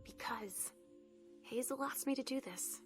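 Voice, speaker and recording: A woman playing a protective vampire mother posing as a police officer in a video game, speaking in a hushed, measured, guarded way. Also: sits high. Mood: tender, uneasy. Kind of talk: video game cutscene voice acting. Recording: loud music under the voice.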